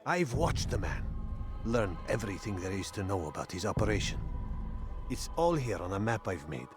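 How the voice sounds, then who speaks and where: A man's voice: lowish voice, very animated, normal speed. An actor playing a determined, earnest man plotting a strike in a video game. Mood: grim resolve. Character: determined male conspirator plotting to strike a slaver. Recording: game audio with music behind. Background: game music.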